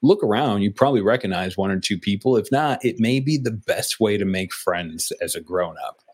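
A man's voice: fairly low pitch, expressive, moderate pace, darkish tone, husky. A man co-hosting a casual beer podcast, rambling and chatty with lots of fillers and restarts. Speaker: podcast co-host.

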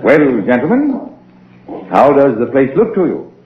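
An actor playing a learned, courteous archaeologist with formal diction in a 1930s radio drama. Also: male voice, mid-range voice, wildly swooping pitch, measured pace. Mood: formal, gracious. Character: learned, courteous expedition director, male doctor of archaeology. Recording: tinny, band-limited 1930s radio.

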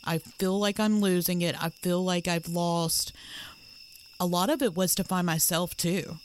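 A woman's voice: lowish voice, fairly even intonation, unhurried, a little hiss or hum. A woman co-hosting a podcast, telling her own story in an earnest, searching way, often restarting her sentences. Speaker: podcast co-host. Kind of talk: personal storytelling in conversation.

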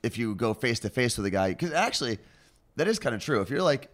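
Man, podcast co-host, fast speech, joking, self-mocking, casual banter. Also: mid-range voice, very animated.

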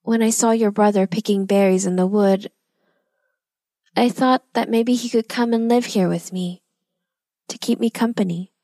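A woman voicing a lonely old woman who acts like a threatening witch, loud and angry at first, then quiet and sad. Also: mid-range voice, fairly even intonation, normal speed, long pauses, no room sound, studio quality, natural voice.

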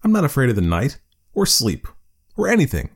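A man's voice: medium pitch, huge pitch swings, brisk, breathy edge, voice pushed up, heavily acted. A man voicing a lonely stranded space explorer, nervous and arguing with himself. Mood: wry bickering.

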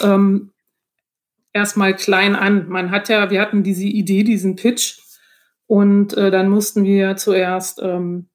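Woman, mid-pitched voice, casual interview guest, explanatory, hesitant, often laughing. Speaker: podcast guest, author.

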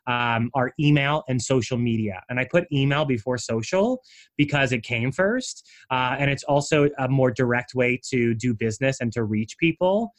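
Guy coaching on branding in a podcast chat, animated, lots of "like", middle pitch. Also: normal speed. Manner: animated explainer, frequent "like" asides. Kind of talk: conversational interview.

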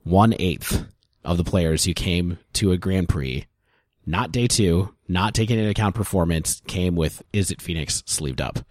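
A man with a very low voice talks through figures at length on a podcast. He is thoughtful and casual, recorded close with a dry, dead sound. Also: a little slow, darkish tone, no echo. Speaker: podcast co-host.

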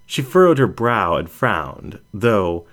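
A man with a deep voice reads a story aloud. He takes his time over each phrase, deliberate and dramatic, and his intonation moves around freely as he narrates. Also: very animated, fairly bright tone. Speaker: audiobook reader. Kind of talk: dramatic first-person fiction narration.

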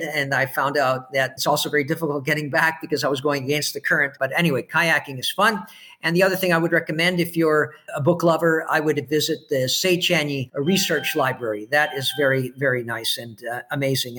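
Measured, relaxed Canadian-raised man. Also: a little high. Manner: relaxed, measured, with frequent hesitations and chuckles. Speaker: podcast guest, expat in Budapest. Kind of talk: casual interview answers. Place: home, remote podcast call.

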